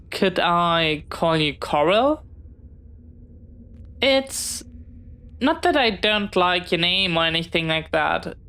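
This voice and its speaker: A woman's voice: lowish voice, a bit bright, clear, resonant tone. A woman playing a friendly, bookish, talkative young pirate in an audio roleplay, speaking in a polite, hesitant, earnest way. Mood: flustered, polite. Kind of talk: intimate scripted audio roleplay.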